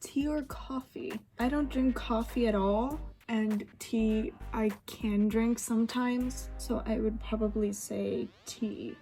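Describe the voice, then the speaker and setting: Chatty young Swedish woman, mellow voice. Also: a little high, steady tone, slow, few pauses. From Sweden. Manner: rambling, lots of fillers and laughs. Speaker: vlogger. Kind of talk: casual chat while getting ready. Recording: faint music behind.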